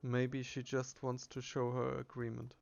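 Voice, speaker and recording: A man reading a text adventure game aloud as narration, with a subdued, flat delivery. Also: medium pitch, a little slow, vocal fry, dull audio, slight background noise.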